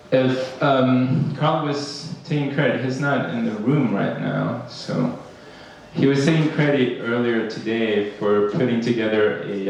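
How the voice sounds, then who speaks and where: Slow, hesitant man in echoey hall. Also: fairly low pitch, slight background noise. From Ecuador. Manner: hesitant, many fillers and restarts. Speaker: academic panel speaker. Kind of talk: conference talk. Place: conference room. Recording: echoey hall, speaker far from mic.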